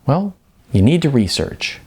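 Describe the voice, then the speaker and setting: A man voicing a young woman receptionist in an audiobook, brisk and dismissive, explaining things with slight condescension. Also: medium pitch, big pitch swings, a little mellow, slightly rough, pitched above the performer's own voice. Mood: incredulous, advising. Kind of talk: audiobook character dialogue.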